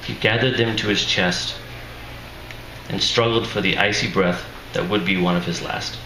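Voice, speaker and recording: A man, an author, reading his fiction aloud at a live event in a measured, deliberate way. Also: deep voice, steady tone, bright, forceful tone. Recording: echoey room with faint noise.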